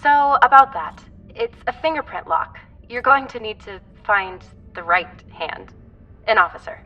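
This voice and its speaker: A chatty, practical spaceship technician in a sci-fi game, speaking conversationally at a medium pitch. The sound is slightly dulled and distant, with soft music behind. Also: female voice, expressive, a little slow, dull audio. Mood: apologetic, practical. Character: practical, chatty female crew technician, a bit squeamish. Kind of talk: video game character acting.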